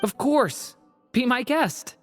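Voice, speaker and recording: Earnest, high-voiced dragon boy. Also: animated, takes it slowly, long reverb tail, soft background music. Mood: obliging. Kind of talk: anime game voice acting.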